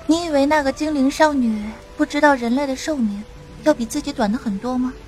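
A woman's voice: sits high, low-quality recording. A woman playing a fiery, indignant young female elf in a fantasy radio drama, with an emphatic, scolding delivery.

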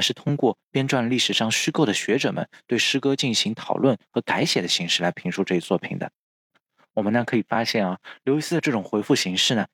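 Low, husky man explaining on a podcast. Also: fairly bright tone, no echo. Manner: frequent drawn-out filler particles at phrase ends. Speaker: podcast host. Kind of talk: explanatory podcast talk from notes.

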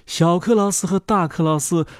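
A man telling a children's story in an expressive, animated way, as if addressing a young listener. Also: sits high, normal speed, no room sound, clean studio sound. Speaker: storyteller and host.

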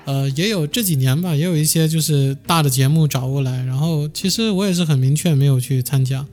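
A man's voice: a little high, fairly quick, a little mellow. A man speaking casually as a musician being interviewed, with a modest, easygoing manner.

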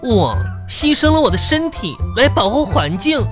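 A woman's voice: sits high, sing-song, moderate pace. A woman playing a boastful, gluttonous young best friend in a comedic radio drama, with a Northeastern Chinese accent and an indignant, exaggerated way of complaining. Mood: huffy, defensive. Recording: music behind the voice.

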